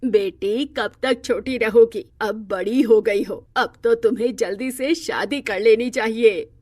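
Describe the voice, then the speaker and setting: A woman's voice: on the high side, unhurried, a little mellow, dry recording, studio quality. A woman playing an affectionate, eager old grandmother in a cartoon moral story, speaking in an emphatic, coaxing way.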